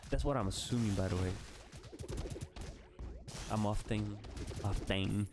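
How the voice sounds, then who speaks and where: A man's voice: on the low side, unhurried, background noise. A man with a Brazilian accent, a native Portuguese speaker, giving casual, rambling, self-mocking commentary while playing a game and swearing freely. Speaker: gaming video creator.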